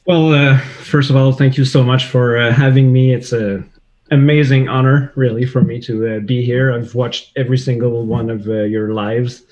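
A man's voice: mid-range voice, steady tone, moderate pace, darkish tone. A man from Quebec whose first language is French, speaking English with a Québécois French accent as a guest on a video call, hesitant and searching for words with many fillers. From Quebec, Canada. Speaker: guest, hobbyist maker. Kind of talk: casual video-call chat. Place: home room with couch and shelves. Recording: dull lo-fi video call audio.

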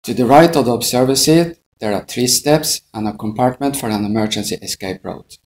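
A man's voice: mid-range voice, a little fast, a little mellow. A middle-aged man, an airline pilot, giving a methodical, scripted instructional narration.

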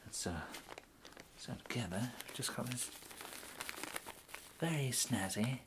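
Soft-spoken English gentleman tailor, played by a man. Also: mid-range voice, animated, unhurried, very noisy, natural voice. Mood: courteous, pleased. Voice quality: soft, breathy, often slipping into whisper. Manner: hesitant, courteous, murmuring. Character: polite, fussy English gentleman tailor serving a customer. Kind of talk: ASMR roleplay. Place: indoors, plain small room, close to camera.

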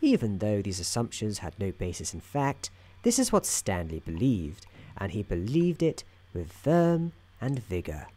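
A man playing a soothing, wry narrator in an office worker's head, soft-spoken, with measured theatrical delivery for an ASMR storytelling roleplay. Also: on the low side, wildly swooping pitch, full character voice. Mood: calm narrating.

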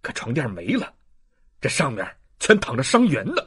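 A man's voice: mid-range voice, wildly swooping pitch, a little fast, a bit bright, husky, heavily acted. A man playing urgent, commanding revolutionary fighters in dramatised audiobook dialogue.

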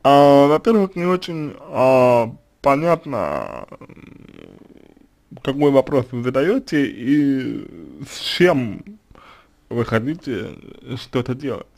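A middle-aged man offers unhurried advice in a plain mid-pitched voice. He talks slowly, searching for words with frequent fillers and self-corrections, circling back to rephrase. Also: sing-song, vocal fry, a little mellow. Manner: slow, hesitant, many fillers and restarts. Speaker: psychologist answering a question. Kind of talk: spoken advice monologue.

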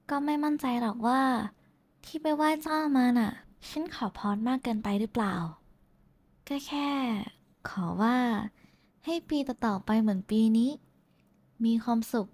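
A woman's voice: a little high, darkish tone. A woman playing a shy, affectionate young woman in an intimate roleplay, talking casually and hesitantly to someone special. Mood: tender, teasing. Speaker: VTuber voice actress. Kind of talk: intimate roleplay voice pack addressed to the listener.

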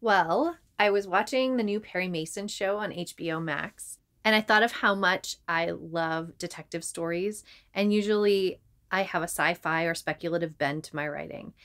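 A woman's voice: fairly low pitch, moderate pace, fairly bright tone. A woman talking conversationally as a podcast co-host.

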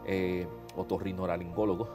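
A man in his fifties with a Puerto Rican accent speaks to his online flock, his voice nasal and congested after a nose procedure. He talks in a warm, rambling way, calling listeners beloved, in a fairly low voice. Music plays clearly underneath him. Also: level tone, normal speed. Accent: Puerto Rican Spanish. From Puerto Rico. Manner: warm, conversational, addresses viewers as beloved, frequent fillers. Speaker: pastor hosting an online devotional show. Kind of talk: casual devotional talk to viewers. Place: indoors before a holiday backdrop.

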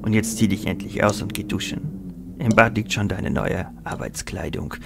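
Man playing a menacing, gravelly kidnapper. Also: lowish voice, moderate pace. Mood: cold, sneering. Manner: mocking, threatening, condescending. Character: menacing, sneering male kidnapper and pimp. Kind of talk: audio drama acting. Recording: noisy ambient background.

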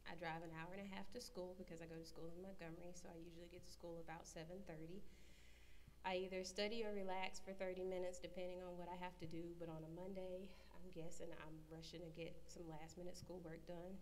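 A young woman in her twenties, speaking a bit low, sits on a church panel and walks through her routine in steady detail. Faint room ambience sits behind her. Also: fast, slight background noise. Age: 20s. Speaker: panel guest. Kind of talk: answering questions on a panel. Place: church sanctuary.

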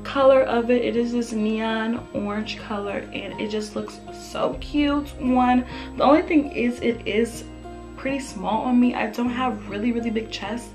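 A young woman vlogger with a slightly raspy, fairly high voice chatters through a fashion try-on haul, upbeat and loose with plenty of fillers. She talks close to the camera in her bedroom. Music plays clearly underneath. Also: fairly even intonation, measured pace. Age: young adult. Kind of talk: casual try-on haul chat. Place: bedroom with photo-collage wall, indoors.

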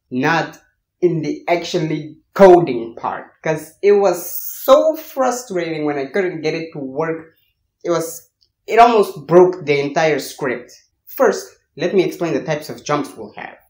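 A man's voice: on the high side, big pitch swings, a little slow, a little mellow. A young man hosting a scripted coding tutorial, explaining step by step in a playful manner into a handheld microphone. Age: young adult. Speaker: tutorial host. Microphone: handheld microphone with furry windscreen. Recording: roomy echo, music underneath. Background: background music.

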